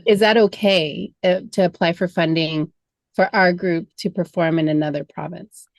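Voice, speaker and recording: A woman on a video call asking grant questions for a theater group, halting, with fillers and self-corrections. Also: lowish voice, fairly even intonation, no room sound.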